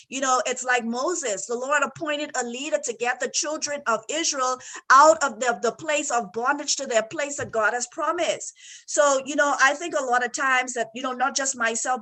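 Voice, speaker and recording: A woman's voice: high-pitched, pushed and bright, poor audio. A woman marriage coach in a faith-based conversation, emphatic and encouraging, repeating her phrases.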